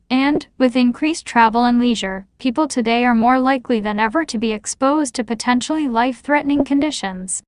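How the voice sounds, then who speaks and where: A woman with a fairly high voice reads prepared passages aloud in a scripted style. The sound is very dry, with no room around the voice. She serves as a model reader for test practice. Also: dry recording.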